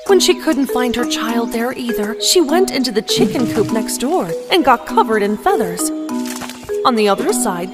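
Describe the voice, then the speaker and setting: High-voiced woman telling a bedtime story. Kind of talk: scripted bedtime story narration. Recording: narration with background music.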